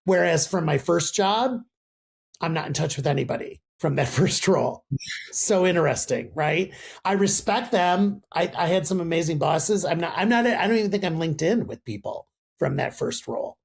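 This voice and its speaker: Male podcast host, gravelly and husky, lively conversational delivery. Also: fairly high pitch, moderate pace. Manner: animated, warm affirmations and laughs. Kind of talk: casual interview chat.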